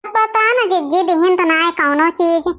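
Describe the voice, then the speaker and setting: A woman's voice: extremely high pitch, normal speed, a bit bright. A woman with an Awadhi accent voicing a young, deferential newlywed daughter-in-law in a cartoon family comedy, speaking in homely village idiom. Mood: evasive.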